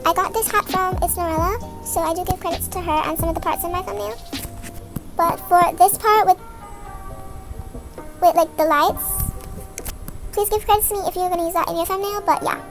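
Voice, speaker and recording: A woman's voice: very high-pitched, fairly even intonation, brisk, pauses often. A woman giving a casual, improvised step-by-step tutorial as a voice-over. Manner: informal, improvised explaining. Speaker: video creator giving a tutorial. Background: music.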